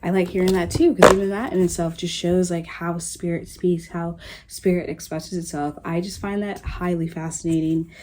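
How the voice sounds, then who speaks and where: A young woman giving a casual psychic reading to her viewers, musing and dreamy, with soft giggles. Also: lowish voice, flat delivery, normal speed. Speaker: psychic reader channeling messages. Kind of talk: casual spiritual reading to camera.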